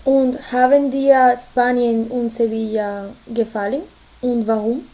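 A young female student hosts a podcast and reads her lines carefully. Her voice sits fairly high but sounds mellow and soft. She speaks very slowly. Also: little pitch movement. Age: young adult. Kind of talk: scripted podcast intro.

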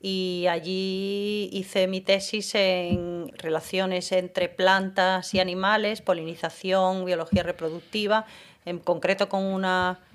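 A woman's voice: fairly low pitch, little pitch movement, slow pace. Middle-aged Galician woman, easygoing guest. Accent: Galician Spanish. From Galicia, Spain. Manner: warm, laughs easily, uses fillers. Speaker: guest botany professor. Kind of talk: radio interview.

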